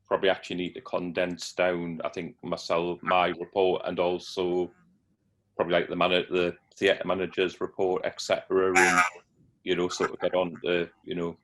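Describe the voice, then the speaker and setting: A man's voice: deep voice, level tone, normal speed, fairly bright tone. A man on a video call asking for advice, talking hesitantly with lots of fillers.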